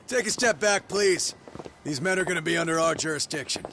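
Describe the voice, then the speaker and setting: An actor playing an aggressive, officious police officer in a game drama, curt and commanding. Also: male voice, a little high, a little fast, pushed and bright, slight background noise. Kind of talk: dramatic video game cutscene acting.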